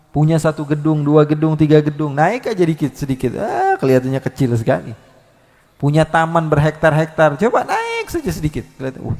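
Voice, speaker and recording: A male preacher giving a live Islamic sermon, lively, swinging between loud calls and soft asides with many rhetorical questions. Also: a little high, big-room sound. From Indonesia. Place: large hall at a live religious gathering.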